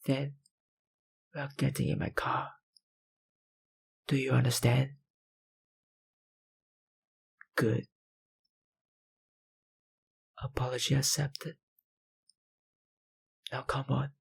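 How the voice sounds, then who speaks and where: An actor playing a possessive, powerful vampire kidnapper in an ASMR roleplay, breathy and husky, with a dominant, condescending manner. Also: male voice, medium pitch, little pitch movement, takes it slowly, pauses often, full character voice. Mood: commanding. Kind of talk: ASMR roleplay, dramatic monologue.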